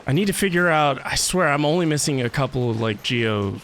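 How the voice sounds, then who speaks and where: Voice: medium pitch, expressive, fairly quick, noisy background. A livestreamer reacting casually to a game, with hesitant, wry asides. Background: game music.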